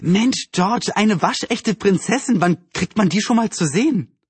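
Storybook young girl, played by a male narrator: enthusiastic, earnest little sister with a raised pitch. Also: expressive, a little fast, slightly rough, dry recording. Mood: excited. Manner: enthusiastic, earnest, indignant when doubted.

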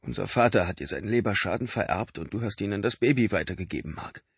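A man's voice: on the low side, lively intonation, fast, creaky, husky, dry recording, voice pushed up, heavily acted. A man voicing an exhausted adult man who pleads urgently and breathlessly with his brother. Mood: urgent pleading.

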